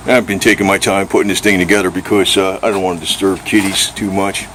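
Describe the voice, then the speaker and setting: A man's voice: low-pitched, flat delivery, quick pace, a bit bright, a little hiss or hum, poor audio. A man chatting casually outdoors in a blunt, folksy, meandering way, as if showing his gear on a handheld camera. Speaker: amateur vlogger showing his radio gear. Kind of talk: casual rambling chat. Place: outdoors by a vehicle.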